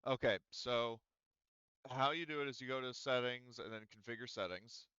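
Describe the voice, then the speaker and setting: A man's voice: medium pitch, moderate pace, pushed and bright, clear, resonant tone, no echo, highs cut off. A man acting as game master in a casual online tabletop game, rambling with lots of filler words. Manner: rambling, lots of ums and likes. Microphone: headset or desk mic on voice chat.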